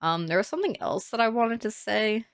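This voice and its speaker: A woman's voice: medium pitch, lively intonation, a little fast, no echo, clean studio sound. A young woman with a nasal, slightly congested voice, chatting casually over a game build and laughing often. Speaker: Sims builder narrating a speed build.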